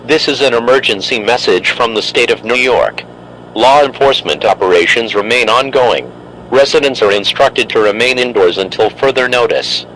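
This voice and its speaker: Bright-voiced man reading an emergency alert. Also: medium pitch, moderate pace, a little hiss or hum, rough recording. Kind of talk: formal scripted emergency broadcast announcement.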